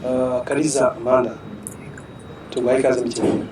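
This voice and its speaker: A man's voice: medium pitch, flat delivery, fast. Male interviewer heard from afar. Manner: brief prompts and murmured agreement. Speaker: interview host. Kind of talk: interview questions. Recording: distant mic, crowd noise.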